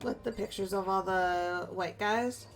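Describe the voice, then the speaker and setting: A woman's voice: medium pitch, a little slow, faint music behind. A woman bantering casually and teasingly as a co-player in a game playthrough.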